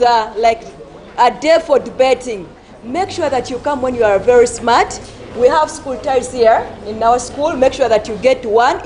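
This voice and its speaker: Woman, high voice, school debate judge, halting, repeats words, faint noise behind. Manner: slow, halting, many fillers and repeats. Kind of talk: reading scores and advising pupils. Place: school assembly.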